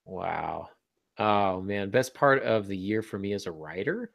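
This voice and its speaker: A man's voice: on the low side, expressive, unhurried, creaky, fairly bright tone, dry recording, clean studio sound. A man hosting a casual podcast discussion as a writer, talking in a wry, joking way. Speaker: podcast host and author.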